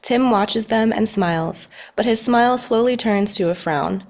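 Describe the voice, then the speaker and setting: A woman's voice: a little high, moderate pace, a little mellow. A woman reading scripted narration for a children's story at a table read.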